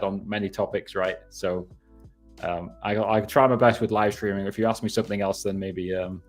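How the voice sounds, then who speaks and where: A man in his thirties with a northeast English accent talks loosely on a live stream. His voice sits fairly low, and he keeps restarting his phrases and laughing as he goes. Quiet music plays faintly underneath. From northeast England. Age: 30s. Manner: rambling, self-correcting, laughs often. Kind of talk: casual live Q&A. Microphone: headphones on.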